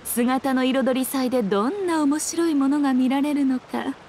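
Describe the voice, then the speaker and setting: A woman's voice: high-pitched, normal speed, a little mellow, a little hiss or hum. A woman playing an elegant, teasing ancient fox shrine maiden in a Japanese anime game, with archaic, haughty, self-assured speech.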